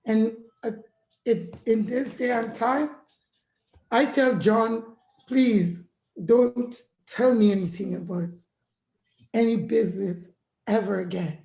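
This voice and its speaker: A hoarse woman giving an earnest, halting testimonial as a guest on an online webinar call. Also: on the high side, mellow, reverberant, rough recording. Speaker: guest caller. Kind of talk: casual testimonial. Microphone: video call.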